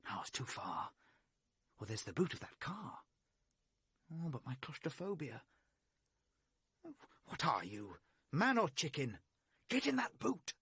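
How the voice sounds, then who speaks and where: An actor playing an anxious, self-doubting British fugitive who talks agitatedly to himself in a vintage radio drama. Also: male voice, fairly high pitch, huge pitch swings, unhurried, long pauses, pushed and bright, breathy edge, no echo, exaggerated voice. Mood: urging himself on. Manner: agitated, self-questioning monologue. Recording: noisy vintage radio play recording.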